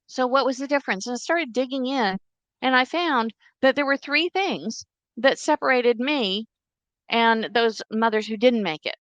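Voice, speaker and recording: Earnest woman with a higher voice, dry sound. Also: moderate pace, dry recording, lo-fi sound. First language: Czech. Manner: earnest, steady storytelling with quoted voices. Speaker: guest. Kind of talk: interview storytelling.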